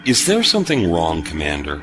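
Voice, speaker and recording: An actor playing a retired starship captain in an audio drama, wry, understated and measured. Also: male voice, low-pitched, wildly swooping pitch, a bit bright, faint music behind, exaggerated voice. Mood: guarded, wry.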